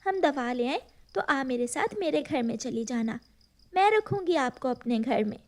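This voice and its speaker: A woman voicing a worried, gentle and motherly sparrow in a cartoon. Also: high voice, animated, normal speed. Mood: polite.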